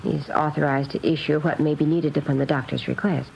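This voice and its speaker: Prim, earnest nun in vintage radio drama. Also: female voice, sits low, steady tone, fairly quick, creaky, a little mellow. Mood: earnest, precise. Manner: prim, proper, carefully enunciated. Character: earnest, precise, naive nun running a hospital pharmacy. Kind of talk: 1950s radio drama acting. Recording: dull old radio recording, faint noise.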